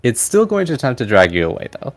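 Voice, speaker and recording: A man's voice: medium pitch, huge pitch swings, quick pace. Casual man running a tabletop game. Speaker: game master. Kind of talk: narrating a tabletop roleplaying game.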